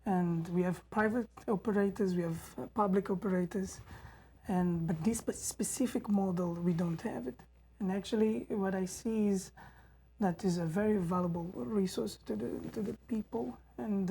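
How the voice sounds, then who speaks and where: A woman's voice: mid-range voice, moderate pace, vocal fry, warm tone, a little hiss or hum, rough recording. A woman from Mozambique, a guest in a studio interview, speaking hesitantly with many fillers.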